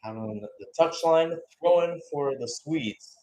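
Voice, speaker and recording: A man's voice: a little high, animated, measured pace, dark tone, rough recording. A man giving live radio-style football play-by-play, mixing calls of the action with conversational, musing analysis. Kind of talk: live radio sports commentary.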